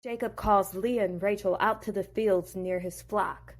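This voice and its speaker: A woman's voice: medium pitch, fairly even intonation, unhurried. A woman casually retelling a bible story with playful, chatty asides.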